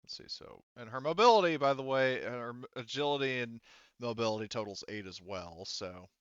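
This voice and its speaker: A man acting as game master in a tabletop roleplaying game, explaining things in a casual, halting way. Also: mid-range voice, expressive, clean tone, no room sound, muffled recording.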